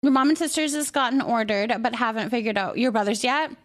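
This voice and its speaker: A woman's voice: a little high, quick pace. A woman hosting a livestream, chatting casually and greeting viewers in a bubbly, affectionate, chatty way. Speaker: live streamer hosting.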